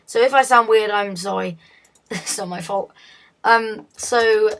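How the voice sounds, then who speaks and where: A woman with a hoarse, fading voice, talking through a game tutorial in a chatty, self-correcting way. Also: mid-range voice, unhurried, a bit bright. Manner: chatty, self-correcting, often laughs at slips. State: hoarse, losing her voice. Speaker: video tutorial host.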